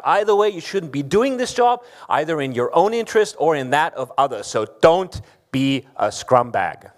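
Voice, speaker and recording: A man with a notably high voice presents a lightning talk. His delivery is wry and opinionated. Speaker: conference speaker. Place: conference hall.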